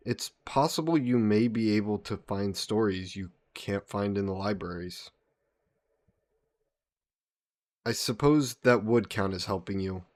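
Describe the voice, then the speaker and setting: A courteous old-world vampire nobleman, acted by a man in an intimate audio drama. His voice sits on the low side, and he speaks in polite, formal phrases, gentle and modest with a touch of wistfulness. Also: natural voice. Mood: earnest, inviting. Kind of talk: scripted one-sided audio roleplay, intimate and conversational.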